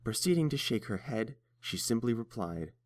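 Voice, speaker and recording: A man's voice: medium pitch, expressive, normal speed, dry recording, studio quality. A man reading scripted story narration as the narrator of a tale.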